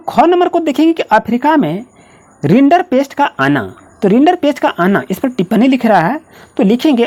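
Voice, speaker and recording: A woman's voice: mid-range voice, a little mellow. A woman teaching school students, dictating answers step by step in a directive, repetitive way. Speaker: online school history teacher.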